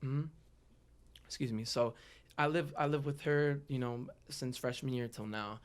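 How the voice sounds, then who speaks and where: A man's voice: mid-range voice, a little slow. A young man with a Southern California American accent, telling his own story openly and informally as a podcast guest. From Los Angeles area, United States. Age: young adult. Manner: open, informal, slangy. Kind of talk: casual personal interview storytelling.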